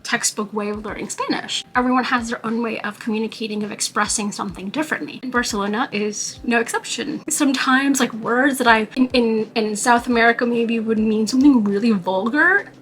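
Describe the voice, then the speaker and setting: A young woman chats to her camera in a relaxed, loose vlog style. Her voice sits a bit higher than most, and her sentences run on with plenty of "like" and "you know." She sounds easygoing and conversational. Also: fairly quick, few pauses, poor audio. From New York. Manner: chatty, with frequent "like" and "you know" fillers. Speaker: vlogger. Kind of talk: casual talking-to-camera vlog.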